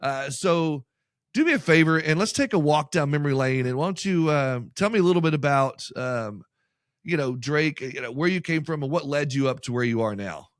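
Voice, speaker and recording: A man's voice: on the high side, animated, brisk, no room sound, clean studio sound. A man hosting an insurance podcast, talking in an enthusiastic, rambling way with plenty of fillers. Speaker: podcast host. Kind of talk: podcast interview and ad reads.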